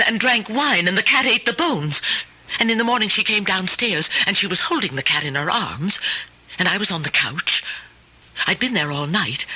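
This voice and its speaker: An actress playing a frightened, confused lonely woman in an old-time radio drama, halting and pleading as she repeats herself. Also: medium pitch, pushed and bright, husky, exaggerated voice, poor audio. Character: frightened, confused, fretful lonely woman insisting on her story.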